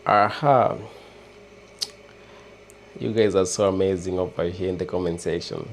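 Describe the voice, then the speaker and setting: A man with a Kenyan accent chatting casually with viewers on a livestream, hesitant and halting, often restarting words. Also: low-pitched, steady tone, slight background noise. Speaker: livestream host. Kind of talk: casual live chat with viewers.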